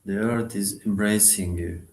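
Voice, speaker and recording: A man leading a guided meditation, giving instructions in short, sparse phrases with long pauses between them. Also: fairly low pitch, steady tone, darkish tone, echoey room.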